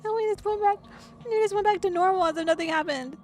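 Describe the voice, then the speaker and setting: A young adult woman streaming, narrating a visual novel aloud and breaking into excited, laughing reactions. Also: very high voice, fast talker, faint music behind. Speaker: VTuber streamer.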